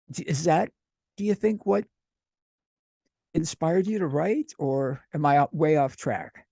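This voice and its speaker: A man's voice: fairly high pitch, gravelly, a little mellow, no echo. A man hosting a radio show interview, effusive and rambling, often restarting his sentences.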